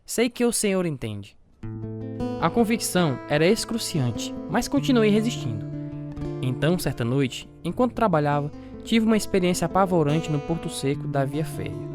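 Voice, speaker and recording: A man's voice: fairly high pitch, big pitch swings, moderate pace. Earnest Brazilian family man, music behind. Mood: conflicted, earnest. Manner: earnest, confessional. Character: devout, self-doubting family man narrating his conversion. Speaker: radio drama actor and narrator. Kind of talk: religious radio drama narration and dialogue.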